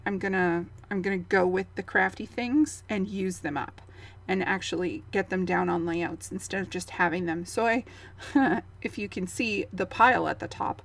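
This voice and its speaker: A woman in her thirties, hoarse and gravelly from losing her voice, chatting through a craft project in a rambling, easygoing way with fillers. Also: medium pitch. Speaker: scrapbooking channel host. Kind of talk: casual voice-over of a craft process.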